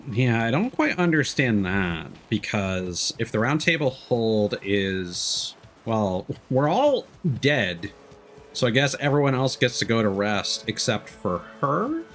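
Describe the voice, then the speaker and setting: A man streaming a video game, thinking out loud in casual commentary and sometimes reading game text, with game music behind. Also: medium pitch, sing-song, slow pace, slight background noise. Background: faint game music.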